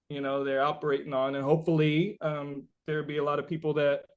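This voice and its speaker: Higher-voiced man in a casual interview. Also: darkish tone. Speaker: interviewed musician and rapper. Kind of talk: casual conversational interview answers.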